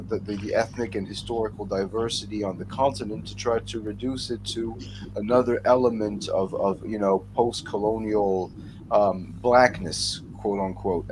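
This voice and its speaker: Low-voiced man, halting, over a noisy call. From United States (born in New York). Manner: halting, repeats words while thinking aloud. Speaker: writer speaking as a panelist. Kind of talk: casual panel discussion over video call. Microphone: video call. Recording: clearly noisy video-call audio.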